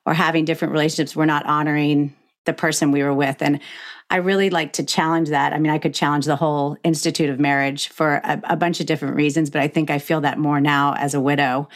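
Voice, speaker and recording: A woman's voice: low-pitched, level tone, fast. A middle-aged woman hosting a candid conversational interview, speaking frankly and emphatically with the odd mild swear. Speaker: podcast host.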